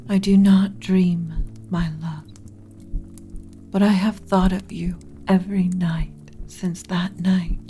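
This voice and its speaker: Woman doing an undead lich queen for asmr roleplay, mellow breathy half-whisper, yearning n formal, music behind her. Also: fairly low pitch. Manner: slow, intimate, dramatic, formal. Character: ancient undead lich queen, female, lovesick, solemn and yearning. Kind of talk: ASMR romantic roleplay monologue. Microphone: close ASMR mic.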